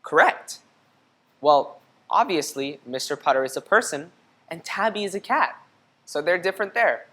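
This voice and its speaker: A woman reading a children's storybook aloud in an expressive, teacherly way, pausing to put questions to young listeners. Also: on the low side. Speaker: storybook reader.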